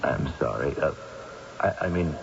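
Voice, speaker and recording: Very deep, gravelly, solemn husband. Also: male voice, animated, heavily acted. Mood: solemn, apologetic. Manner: slow, hesitant, apologetic. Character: apologetic, evasive husband, subdued and solemn. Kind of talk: old-time radio drama acting. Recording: muffled old radio recording, clearly noisy.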